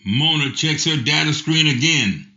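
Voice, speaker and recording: A man reading scripted narration in an even, announcer-like way. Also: on the high side, a little slow. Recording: dull, lo-fi sound.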